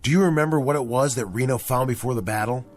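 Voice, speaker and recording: An actor playing a serious army sergeant who knows his history, in a radio drama, speaking in a measured way. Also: mid-range voice, soft background music, natural voice. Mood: earnest. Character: grave male army sergeant versed in history.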